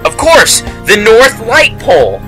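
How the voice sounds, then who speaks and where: A man's voice: sits high, wildly swooping pitch, slow pace, bright, forceful tone, faint music behind, full character voice. A man voicing a nerdy, know-it-all teenage brother in an amateur cartoon, talking in an earnest, precise way. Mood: eager.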